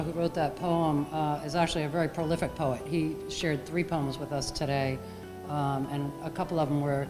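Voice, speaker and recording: A middle-aged woman hosting an event, making informal introductions at a podium microphone. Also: sits low. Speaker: event host. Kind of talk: informal introductions to a small audience. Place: library meeting room, at podium. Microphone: podium gooseneck microphone.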